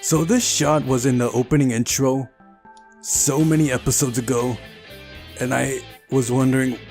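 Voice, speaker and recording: A man's voice: medium pitch, fairly even intonation, moderate pace, darkish tone, soft background music. A man hosting a visual novel playthrough, reading the game's narration aloud and adding casual commentary.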